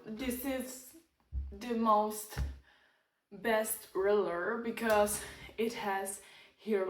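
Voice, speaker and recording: A young woman from the Czech Republic with a Czech accent, talking through a casual DIY vlog in halting English as she searches for words. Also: a little high, a bit bright, a little hiss or hum. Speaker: vlogger. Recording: echoey, from a distance.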